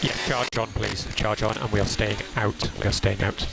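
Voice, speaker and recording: A man's voice: lowish voice, steady tone, normal speed, gravelly. A man working as a race engineer, giving clipped, procedural instructions over team radio and repeating key calls. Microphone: radio headset. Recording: noisy motor racing team radio. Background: loud engine and track noise.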